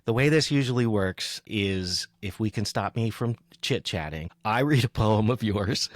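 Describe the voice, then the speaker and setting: A man with a fairly low voice hosts a podcast, recorded dry and close to the mic. He talks casually and rambles a little, with a jokey, self-deprecating manner. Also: lively intonation, normal speed, dry recording. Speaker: podcast host. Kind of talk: casual, chatty interview talk.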